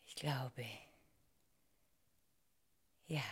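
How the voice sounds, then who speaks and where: An actress playing a wistful, tender woman in a radio drama, hushed, breathy and intimate, with some hesitation. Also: drawn-out words. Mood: tender, moved. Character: wistful, tender woman reunited with first love.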